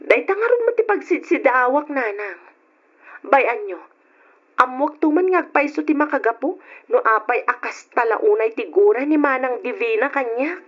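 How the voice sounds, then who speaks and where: High, husky young Ilocano mother. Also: animated, normal speed. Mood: defiant, fond. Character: resolute young single mother, respectful to her elders. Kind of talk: radio drama acting. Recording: dull old radio drama recording.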